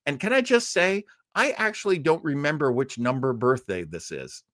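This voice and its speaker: A man's voice: on the high side, very animated, moderate pace, a bit bright. A man in his early sixties hosting a livestream, chatting casually with viewers in a rambling way with jokey asides. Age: early 60s. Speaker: host of a livestreamed classic radio listening show.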